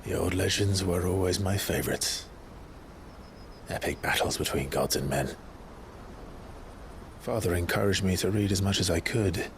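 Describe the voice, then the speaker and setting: A man playing a brooding, earnest noble warrior in a video game, talking in a quiet, reflective way. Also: deep voice, moderate pace, creaky, long pauses, a little hiss or hum. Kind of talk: dramatic video game cutscene acting.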